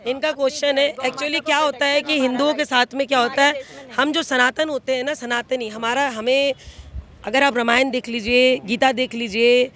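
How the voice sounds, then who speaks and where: Impassioned woman, very high bright voice. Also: steady tone, normal speed. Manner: impassioned, argumentative, insistent. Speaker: member of the public debating. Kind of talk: heated street debate.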